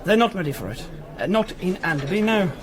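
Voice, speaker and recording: An actor playing an uneasy, hesitant political writer in a period radio drama. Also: male voice, high-pitched, sing-song, fairly quick. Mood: uneasy, reluctant. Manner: hesitant, distracted.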